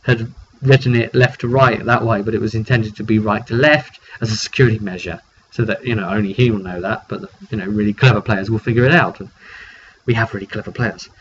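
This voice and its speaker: A man's voice: lowish voice, fairly even intonation, brisk, fairly bright tone. A British man, a game master, casually recounting a tabletop roleplay session in a chatty, rambling way and acting out what players said. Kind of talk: casual storytelling monologue. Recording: dull lo-fi mic with faint noise.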